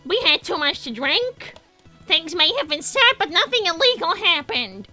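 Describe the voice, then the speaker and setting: Squeaky, bratty cartoon hedgehog girl. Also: big pitch swings, moderate pace, pushed and bright, soft background music, full character voice. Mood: defensive. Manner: indignant, sassy, dismissive. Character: Sonya, bratty defensive young female cartoon hedgehog. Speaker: character host reading viewer messages. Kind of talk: improvised in-character livestream comedy.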